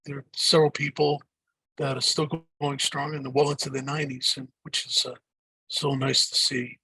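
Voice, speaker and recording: An older man with a deep Boston accent, answering interview questions in a rambling way, with many fillers and restarts. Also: flat delivery, normal speed, gravelly, sharp tone, breathy edge, dry recording, low-quality recording. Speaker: guest.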